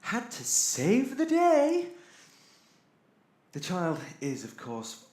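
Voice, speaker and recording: A man's voice: high voice, wildly swooping pitch, very slow, a little mellow, reverberant, full character voice. A man playing an irritable, sardonic robot crewman in an audio drama, theatrically exasperated and sarcastic. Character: irritable sardonic male robot crewman keeping a ship's log.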